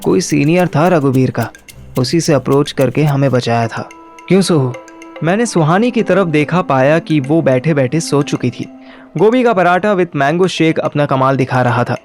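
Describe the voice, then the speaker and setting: A man's voice: fairly high pitch, animated, normal speed, a little mellow, soft background music. A man narrating a first-person story and acting its dialogue in colloquial Hindi mixed with English. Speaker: narrator and lead character of an audio story.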